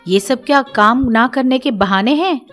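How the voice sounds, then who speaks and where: A woman's voice: high-pitched, moderate pace, a bit bright, soft background music. A woman voicing a confident, questioning young sister-in-law in a family story. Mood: bossy. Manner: questioning, assertive. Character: young student daughter, confident, concerned, determined sister-in-law.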